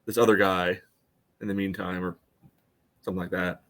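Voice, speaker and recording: A man co-hosting a soccer podcast, giving casual analysis in a measured, conversational way. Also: deep voice, flat delivery, a bit bright.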